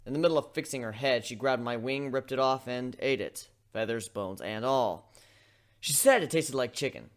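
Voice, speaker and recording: A man's voice: medium pitch, moderate pace, natural voice. A man voicing a young male griffin in human form in a dramatic reading, blunt, offhand and wry. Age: young adult. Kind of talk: dramatic fan-fiction reading.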